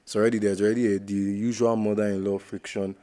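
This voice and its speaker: A Nigerian man on a casual podcast with a dark, mellow, low voice. He explains in an even, flat, steady tone. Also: fast talker. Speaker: podcast co-host.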